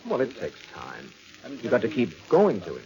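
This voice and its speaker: An actor playing an earnest, insistent doctor husband in a 1940s radio drama, coaxing and reassuring. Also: male voice, on the low side, wildly swooping pitch, normal speed, a little mellow. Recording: muffled vintage radio, organ-era music behind.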